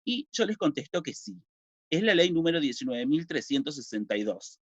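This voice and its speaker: Lively Uruguayan man teaching a civics class. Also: fairly high pitch, moderate pace, breathy edge, no echo. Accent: Uruguayan Spanish. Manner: explanatory, asks and answers his own questions. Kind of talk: recorded video lesson. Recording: dull lo-fi dry recording.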